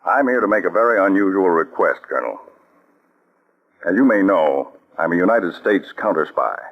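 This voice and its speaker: Methodical counterspy chief, low voice, crisp orders, muffled old radio recording. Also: male voice, expressive, moderate pace. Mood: polite, formal. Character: commanding male counterspy chief, calm and methodical. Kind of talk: 1940s radio acting.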